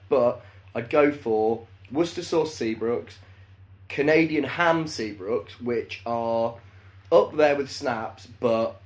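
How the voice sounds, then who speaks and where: Man, thirties, from the Midlands, casual podcast banter, deadpan, sweary. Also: mid-range voice. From English Midlands. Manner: crude, deadpan rants. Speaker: podcast co-host. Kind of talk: casual comedic chat.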